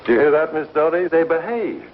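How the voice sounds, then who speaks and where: A man's voice: on the high side, lively intonation, a little fast. An actor playing a wry, easygoing, teasing townsman in a 1950s television western, folksy and drily amused. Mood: skeptical, teasing. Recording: tinny, noisy 1950s television soundtrack.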